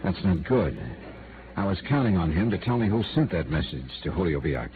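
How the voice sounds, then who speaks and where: An actor playing a wry, suspicious hard-boiled investigator in 1950s radio noir, with a clipped, sardonic delivery. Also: male voice, low voice, big pitch swings, a little fast. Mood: urgent. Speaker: lead actor and narrator. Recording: tinny old radio broadcast, music behind.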